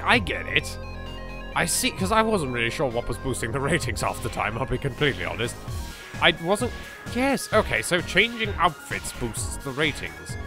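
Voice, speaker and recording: A man with a British accent giving casual, excitable gameplay commentary, self-deprecating and repeating himself. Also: medium pitch. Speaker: let's play host. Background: game music.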